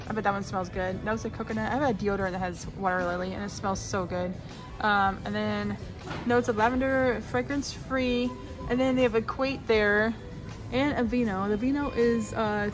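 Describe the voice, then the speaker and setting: Chatty young woman reading store labels. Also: on the high side. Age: young adult. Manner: chatty, reads out labels and prices, lots of fillers. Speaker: shopping vlogger. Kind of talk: casual shop-with-me narration. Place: store aisle, indoors.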